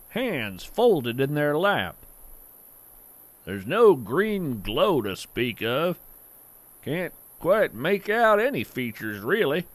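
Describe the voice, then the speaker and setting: A man's voice: on the high side, dry recording. A man playing a folksy, awestruck naturalist in a fiction podcast, musing poetically by a campfire as he tells his story. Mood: hushed delight. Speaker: podcast host. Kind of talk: scripted audio fiction monologue.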